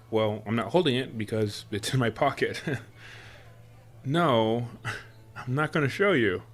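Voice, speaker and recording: Low-voiced, teasing, affectionate boyfriend, acted by a man. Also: fairly bright tone, heavily acted. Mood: excited, secretive. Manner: teasing, chuckles often, coaxing. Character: affectionate playful boyfriend spoiling his sweetheart with surprises. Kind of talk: boyfriend roleplay audio drama.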